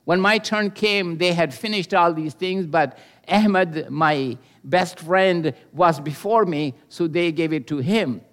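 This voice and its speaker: Older man preaching slowly. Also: on the high side, fairly bright tone. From Pakistan. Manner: earnest and measured, with pauses and hesitations. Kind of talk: sermon with personal testimony.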